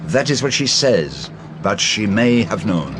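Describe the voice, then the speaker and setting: An actor playing a shrewd, courteous detective with a French-speaking European accent and formal, deliberate, probing phrasing in a radio drama. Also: male voice, on the low side, sing-song, noisy background. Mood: probing, insistent. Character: shrewd, courteous foreign male private detective, probing and precise.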